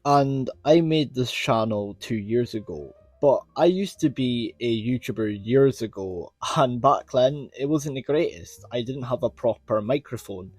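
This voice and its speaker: A man's voice: mid-range voice, animated, unhurried, hardly any pauses, a little mellow, husky, dry recording, faint music behind. A man with a Scottish accent talking casually to his viewers as a YouTuber, in an earnest, rambling way that circles back over points. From Scotland. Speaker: YouTuber reviewing children's TV shows. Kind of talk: casual talk to viewers about own channel.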